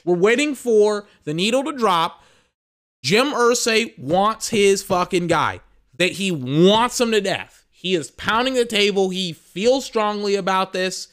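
A young man with a smooth, resonant voice runs a sports podcast in loose, ranting commentary. He talks emphatically, circles back over his phrases and swears freely. He sounds a little tired. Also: high voice, expressive, measured pace, fairly bright tone.

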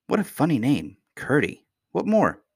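A man's voice: mid-range voice, wildly swooping pitch, moderate pace, darkish tone, husky, voice pushed up, full character voice. A man voicing an affectionate little princess in a storybook, a young girl with an earnest, polite, childlike manner. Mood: curious.

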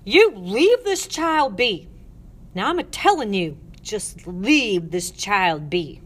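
A woman's voice: lowish voice, lively intonation, normal speed. Woman acting a protective old Southern woman, folksy. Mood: firm, protective. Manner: soothing, folksy dialect, firm when protecting. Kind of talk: audiobook character voice.

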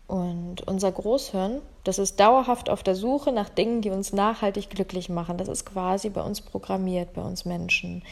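A woman's voice: mid-range voice, gravelly, darkish tone. A young adult woman from Ukraine hosting a solo podcast, talking in a reflective, conversational way with frequent fillers. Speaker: podcast host.

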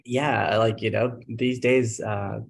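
A man's voice: lowish voice, steady tone, slow, gravelly. A man in his twenties from Nepal, a podcast guest telling his story animatedly, with many fillers and restarts. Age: 20s. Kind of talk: casual interview conversation.

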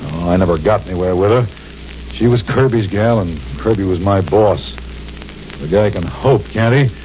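An actor playing a shady male gangster turned tipster in a 1940s radio drama, talking low and blunt. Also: normal speed, darkish tone, close to an everyday voice. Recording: muffled old radio transcription with hiss.

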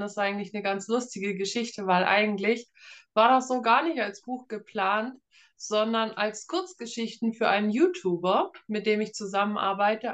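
A woman's voice: mid-range voice, a bit bright. A woman of about thirty from northern Germany, speaking casually and explaining things as an author being interviewed. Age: around 30. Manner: informal, explanatory.

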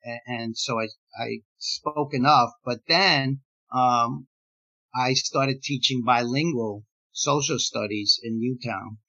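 Older man, sixties, native Spanish speaker, bright tense tone, meandering and full of fillers, dull lo-fi recording. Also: mid-range voice, fairly even intonation, takes it slowly. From Gibraltar. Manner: rambling, many fillers and restarts, laughs while telling stories. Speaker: playwright. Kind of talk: casual podcast interview. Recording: dull lo-fi sound.

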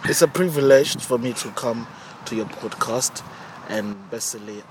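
A young man from Zimbabwe, a podcast guest answering calmly in short, hesitant bits. His voice sits at a middle pitch. Clear street and traffic noise fills the outdoor recording. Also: moderate pace. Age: young adult. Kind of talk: casual interview answers. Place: outdoors, sitting on grass.

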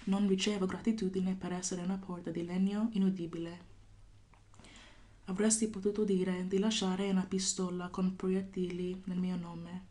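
A woman poet from California, a guest on a podcast, talking thoughtfully with many pauses and fillers and reading her poem. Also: lowish voice, flat delivery, mellow. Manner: thoughtful, hesitant with many fillers, laughs often. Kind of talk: podcast interview with poetry reading.

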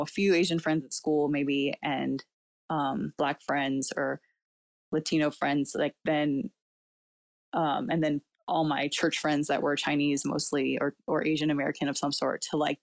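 A woman with a low voice whose first language was Cantonese tells her story as an interview guest. She talks reflectively, circling with fillers and laughing often. The sound is dry and close. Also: no room sound. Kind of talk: casual personal interview talk.

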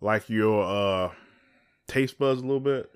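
A man's voice: fairly low pitch, unhurried, clear, resonant tone. A man with a Southern American accent co-hosting a podcast in a casual, folksy, teasing chat. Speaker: podcast co-host.

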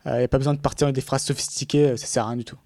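A man's voice: medium pitch, little pitch movement, very fast, a little mellow, dry recording. A man talking casually in a podcast conversation, rambling with many fillers and restarts.

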